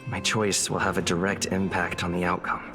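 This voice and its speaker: A low-voiced young adventurer thinks aloud in measured, reflective phrases. He is a thoughtful video game hero, with clear music playing underneath. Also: little pitch movement. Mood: pensive. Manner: measured, reflective inner monologue. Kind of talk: scripted video game narration.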